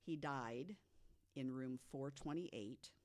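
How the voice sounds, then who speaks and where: A podcast co-host telling stories from notes to the others in a deliberate way, with emphatic asides. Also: mid-range voice, a little mellow. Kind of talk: storytelling from notes to co-hosts.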